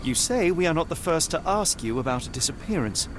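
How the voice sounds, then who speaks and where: A Victorian English detective for a game cutscene. He speaks in a slightly high register, formal and exact, with cool condescension. Busy outdoor noise sits clearly behind him. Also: very animated, fairly quick. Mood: inquisitive, measured. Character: coolly rational, haughty Victorian detective, adult man. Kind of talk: video game cutscene acting.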